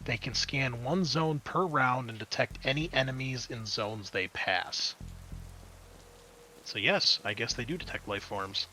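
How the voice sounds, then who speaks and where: A man with a bright, forward voice runs a tabletop roleplaying session as game master. He explains and narrates in a casual, conversational manner. There is clear background noise behind him. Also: medium pitch, measured pace, poor audio.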